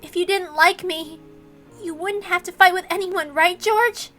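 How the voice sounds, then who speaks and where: A gentle, self-effacing young woman from a story reading, as a female reader plays her. The voice is very high, soft-hearted and pleading, with faint music underneath. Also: measured pace, exaggerated voice. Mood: pleading, sorrowful.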